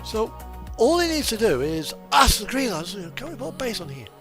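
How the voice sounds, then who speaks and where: A man rambling casually as he streams, speaking haltingly with pauses mid-sentence. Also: high voice, very animated, fairly bright tone. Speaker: game streamer. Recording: electronic music playing behind the voice. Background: non-stop music from in-game radio, vehicle sounds.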